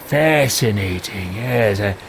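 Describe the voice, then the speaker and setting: A man voicing an arrogant, scheming, power-hungry mage in a haughty, deliberate way for a game roleplay. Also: lowish voice, animated, vocal fry, slight background noise, pitched below the performer's own voice, heavily acted. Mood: haughty, musing.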